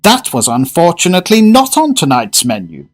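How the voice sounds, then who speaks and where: An actor playing a fussy, fervent gourmet in a radio drama, speaking in grandiose, emphatic phrases. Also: male voice, fairly high pitch, huge pitch swings, normal speed. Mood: rapturous. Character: fussy, fervent gourmet diner, a well-spoken man.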